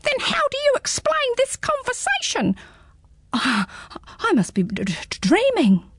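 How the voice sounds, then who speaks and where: A woman's voice: sits high, big pitch swings, moderate pace, no echo, studio quality, voice pushed up. A woman voicing a polite, nervous nine-year-old boy in a storybook radio reading, with a startled, stammering manner.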